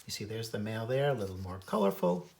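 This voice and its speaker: A man's voice: fairly low pitch, big pitch swings, fast, gravelly, a little mellow, slight background noise. An American man reading a children's book aloud as its author and naturalist, adding informal asides about wildlife. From United States. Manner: imitates bird calls in a singsong.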